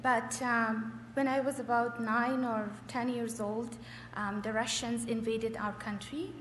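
A middle-aged woman from Afghanistan shares her testimony in a fairly high voice. She speaks in short, hesitant phrases with frequent pauses. The sound is very echoey, as in a hall, with slight noise. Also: flat delivery, fairly bright tone. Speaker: church member giving testimony.

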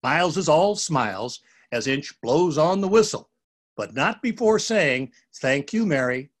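A man narrating a children's storybook in a playful, expressive, scripted style. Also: a little high, unhurried.

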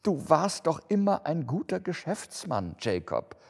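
A man's voice: mid-range voice, big pitch swings, normal speed, darkish tone, breathy edge, pitched above the performer's own voice. A man voicing a terrified, pleading old male miser in a storybook, with a trembling, stammering delivery. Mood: timid, grateful. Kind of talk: dramatic storybook reading. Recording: long hall-like reverb.

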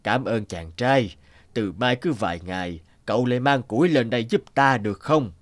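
A man playing a kindly old Buddhist head monk in a cartoon folk tale, gentle and unhurried. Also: mid-range voice, close to an everyday voice. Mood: gentle, grateful.

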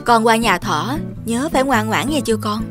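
Woman as cartoon mama bear, polite, motherly, higher-pitched, music behind. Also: expressive, moderate pace, close to an everyday voice. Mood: gently firm. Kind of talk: children's toy animation dialogue.

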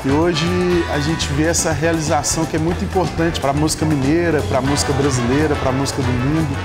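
A man's voice: fairly high pitch, steady tone, a little fast, over loud music. A man giving an earnest testimonial in an event video.

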